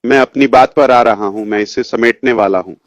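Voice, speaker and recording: A man's voice: mid-range voice, moderate pace, dry recording. A man in his fifties giving an informal motivational update as a company leader, rambling and addressing his listeners directly. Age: 50s. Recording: dull lo-fi audio, dry.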